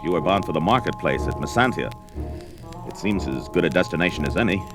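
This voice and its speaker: Big deep-voiced barbarian, cocky and taunting, swingy delivery, old audio drama. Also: male voice, animated, quick pace, music in the background. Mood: mocking, amused. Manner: taunting, grandiose archaic speech. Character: brawny, mocking, defiant barbarian warrior. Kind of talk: 1970s audio drama acting.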